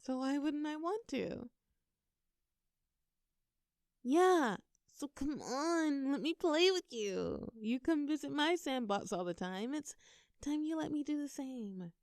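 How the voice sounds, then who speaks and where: Teasing, creaky-voiced girlfriend, acted by a woman. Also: high-pitched, animated, a little slow, no echo, studio quality. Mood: coaxing, playful.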